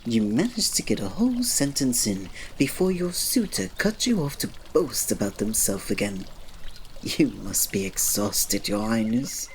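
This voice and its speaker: A man playing a reserved, devoted royal servant in an ASMR roleplay, speaking formally and politely with quiet fondness and restraint. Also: medium pitch, very animated, unhurried, slight background noise. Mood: reserved, weary sympathy. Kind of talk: ASMR roleplay, scripted drama.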